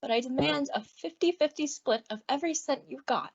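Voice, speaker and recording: A woman playing a sweet, wounded young wife with a gentle, ladylike manner in a radio comedy. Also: sits high, fairly quick, gravelly. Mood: hurt. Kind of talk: 1950s radio comedy acting. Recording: dull old-time radio recording.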